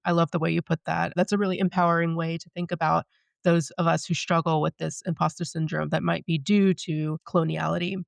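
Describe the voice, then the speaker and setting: Gravelly-smooth young woman host. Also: sits low, level tone, a little fast, no echo. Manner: enthusiastic, affirming. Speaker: podcast host. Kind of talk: interview questions, conversational.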